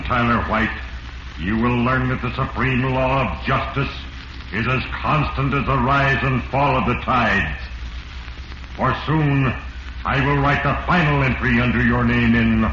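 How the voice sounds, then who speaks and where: Guy doing a slow, ominous all-knowing spirit narrator, old radio drama, echoey and noisy. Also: medium pitch, close to an everyday voice. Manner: grave, deliberate, portentous. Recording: echoey, muffled, noisy vintage radio recording.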